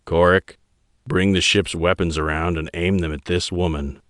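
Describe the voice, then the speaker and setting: A man voicing a stern, commanding navy admiral who speaks in slow, icy, clipped orders. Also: low-pitched, level tone, fairly bright tone, dry recording, studio quality. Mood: threatening. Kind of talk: audiobook character voice.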